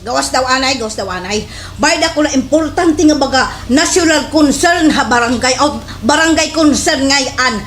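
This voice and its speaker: A woman's voice: high voice, moderate pace, few pauses, a bit bright, a little hiss or hum. A woman with a Waray Filipino accent acting an exaggerated, indignant, boastful village schemer in a slapstick radio comedy. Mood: indignant, urgent.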